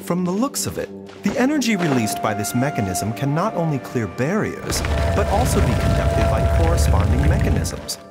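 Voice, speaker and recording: An actor playing a calm, learned male doctor in a video game, speaking in a measured, reasoning, composed way. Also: a little high, over loud music. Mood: thoughtful.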